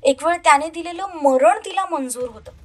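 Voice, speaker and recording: High-pitched woman narrating a story. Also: normal speed. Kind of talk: scripted dramatic story narration. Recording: music under the voice. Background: soft background music.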